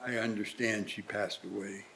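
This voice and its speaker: A man's voice: lowish voice, fairly even intonation, moderate pace, creaky, a bit bright, slight background noise, low-quality recording. A man playing a reformed old Victorian businessman in a live radio play, speaking formally and earnestly. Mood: hesitant, tender.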